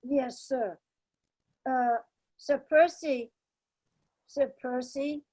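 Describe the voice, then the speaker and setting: A woman's voice: sits high, fairly even intonation, drawn-out words, mellow, heavily acted. A woman playing a polite, hesitant manservant in a radio play table read. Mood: deferential, hesitant. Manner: hesitant, deferential.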